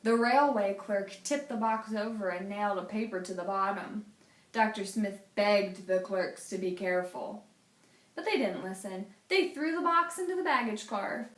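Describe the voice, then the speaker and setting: A woman reading a children's picture book aloud as a teacher, with a deliberate, dramatic storytelling delivery. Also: mid-range voice. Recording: echoey room.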